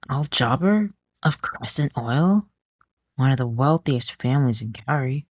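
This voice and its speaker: Woman acting poised, proud singer, slow and measured. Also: rumbling low, lively intonation, dry recording, studio quality, exaggerated voice. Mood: suspicious. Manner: composed, measured, polite. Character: poised proud singer, outwardly gracious, inwardly wary woman.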